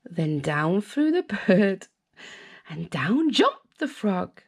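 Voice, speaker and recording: Very animated British woman reading a storybook. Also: mid-range voice, breathy edge. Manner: dramatic, with drawn-out words. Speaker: children's story reader. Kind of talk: expressive scripted storybook narration.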